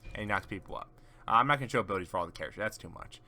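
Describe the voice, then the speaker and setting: A man's voice: fairly low pitch, expressive, rapid-fire, noisy background. A man casually chatting on a gaming stream, giving rambling, teasing explanations to a friend as he shows off game characters.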